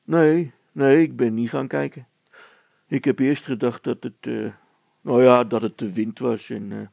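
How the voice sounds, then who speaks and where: A man plays a plain-spoken, stubborn village policeman in a storybook. He is earnest and emphatic, in a gravelly, rough voice recorded dry and close. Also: medium pitch, fairly even intonation, measured pace, darkish tone, no room sound, muffled recording. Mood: indignant, stubborn. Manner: blunt, emphatic.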